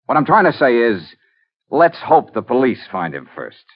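An actor playing a cool, wisecracking private detective, breezy and hard-boiled, in 1940s radio style. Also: male voice, mid-range voice, sing-song, moderate pace. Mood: grim, clipped. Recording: muffled old radio recording.

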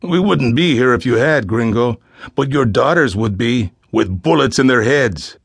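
A man's voice: mid-range voice, lively intonation, moderate pace, vocal fry, slightly rough, no room sound, studio quality. A man voicing an arrogant, taunting kidnapper gang leader in Spanish-accented English for an audiobook. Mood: arrogant, mocking. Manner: taunting, sneering.

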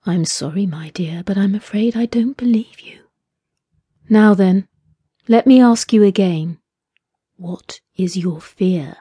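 A woman whispering as a calm, menacing male captor. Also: medium pitch, takes it slowly, slightly rough, dry recording.

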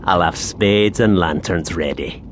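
An actor playing a wry, superstitious gravedigger's sidekick with an in an audio horror drama. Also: male voice, deep voice, measured pace. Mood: wry, conniving. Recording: clear background ambience noise.

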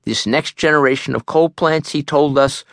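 A man reads a nonfiction memoir as an audiobook narrator, measured and articulate, in a studio. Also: on the high side, creaky, dry recording.

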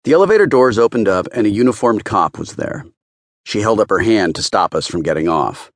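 A man with a deep voice reads first-person fiction as an audiobook narrator. His intonation is lively and varied. The sound is close and dry, with no room to it. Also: fairly quick, no room sound.

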